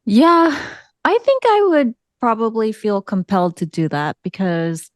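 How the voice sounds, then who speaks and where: A woman's voice: fairly high pitch, huge pitch swings, unhurried, no room sound, studio quality. A woman chatting casually as host of a group discussion, putting questions to the others. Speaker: podcast host asking panel questions.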